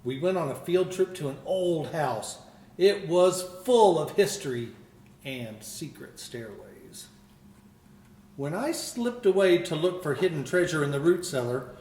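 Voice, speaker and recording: An older man, a school principal, reading a picture book aloud with expressive storytelling. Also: fairly high pitch, distant mic. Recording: roomy echo.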